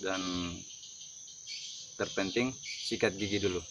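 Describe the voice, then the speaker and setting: Halting man over loud insect noise. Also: deep voice, steady tone. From North Buton, Southeast Sulawesi, Indonesia. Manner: halting, explanatory delivery. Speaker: herbal remedy video presenter. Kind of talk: informal demonstration vlog. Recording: noisy outdoor audio with insects and birds.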